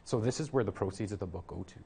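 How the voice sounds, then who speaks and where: Earnest male guest with a slightly low voice, halting speech. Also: vocal fry, warm tone, husky. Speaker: guest, program worker. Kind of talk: interview answers.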